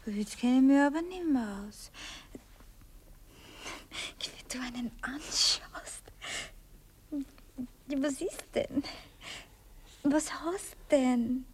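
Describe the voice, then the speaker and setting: A woman's voice: sits high, drawn-out words, pauses often, a little hiss or hum. An actress playing a naive, bashful young working-class girl with a Viennese accent, artless and coy, in a 1960s radio play. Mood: puzzled. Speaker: radio drama actor.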